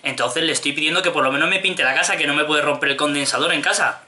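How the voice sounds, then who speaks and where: A man's voice: a little high, quick pace, pushed and bright. A man in his twenties with a Spanish accent from Spain, making a prank phone call with insistent, straight-faced complaints. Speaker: prank caller. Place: small home room.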